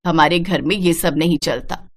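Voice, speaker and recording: A woman's voice: sits low, normal speed, pitched below the performer's own voice. A woman voicing a bossy, disapproving middle-aged mother-in-law in a cartoon story, scolding and domineering. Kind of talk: animated moral-story cartoon dialogue.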